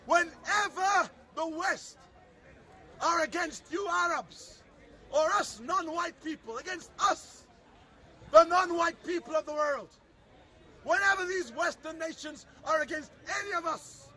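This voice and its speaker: A man's voice: extremely high pitch, a little slow, sharp tone. A man giving an impassioned political speech in the street as a soapbox orator, declamatory and emphatic, with repetitive phrasing. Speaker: public soapbox speaker. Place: outdoors at a public speakers' corner. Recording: outdoor recording with faint crowd. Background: faint crowd, urban outdoor sound.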